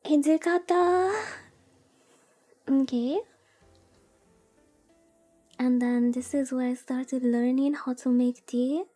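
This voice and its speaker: A woman's voice: high voice, fairly even intonation, slow, long pauses, mellow. A woman streamer chatting casually while playing a visual novel, rambling and playfully thinking aloud over game music. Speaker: VTuber streamer.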